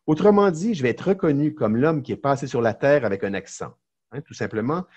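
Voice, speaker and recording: A middle-aged man with a Québécois French accent, a lawyer and entrepreneur chatting as a podcast guest, telling lively stories with many fillers and restarts. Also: mid-range voice, a little fast, husky, muffled recording. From Quebec, Canada. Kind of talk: casual interview chat.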